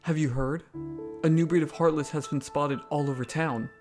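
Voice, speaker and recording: A man playing a friendly fellow fighter in a fan dub of a game, speaking in a relaxed, low-key way. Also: normal speed, soft background music, lightly acted. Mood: casual.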